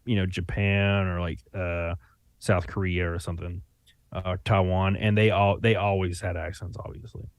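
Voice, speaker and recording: A man's voice: low-pitched, flat delivery, moderate pace, smooth, no room sound. A young man with a Southern Alabama accent, talking loosely in a casual chat, full of fillers and restarts. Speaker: YouTuber guest. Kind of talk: casual conversation.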